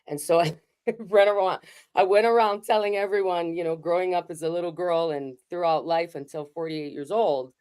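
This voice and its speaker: A woman's voice: lowish voice, steady tone, moderate pace, a little mellow, clean tone. A middle-aged woman from Hawaii giving a candid, conversational keynote talk over a video call from her home studio. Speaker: keynote speaker. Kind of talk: raw personal keynote talk.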